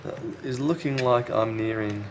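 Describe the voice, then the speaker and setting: A man's voice: mid-range voice, normal speed, noisy background. A man talking casually and thinking aloud as he presents a hands-on repair tutorial. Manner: unscripted, thinking aloud while working. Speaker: repair technician, video host. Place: indoors at a repair workbench.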